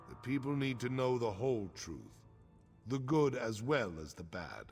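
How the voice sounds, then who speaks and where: An actor playing a grave, honorable middle-aged orc warrior chief in a video game, speaking in a solemn, measured, frank way. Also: male voice, medium pitch, gravelly. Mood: firm, resolute. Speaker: quest-giving game character. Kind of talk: scripted video game dialogue. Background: game music.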